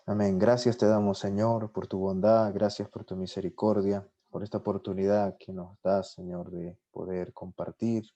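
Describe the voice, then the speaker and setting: A man's voice: fairly low pitch, level tone, normal speed, few pauses, dark tone. Fervent man praying aloud. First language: Spanish. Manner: fervent, repeats "Señor" and "amén" often. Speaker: guest. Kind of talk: spontaneous spoken prayer. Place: lives in Sweden.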